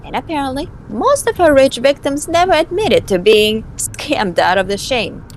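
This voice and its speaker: A woman plays a scheming young vampire socialite for a visual novel. Her delivery is snarky and theatrical, and her pitch swings around in a lively way. There is light background noise on the home recording. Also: moderate pace, poor audio. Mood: gossipy, admiring. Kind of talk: amateur voice acting of a visual novel.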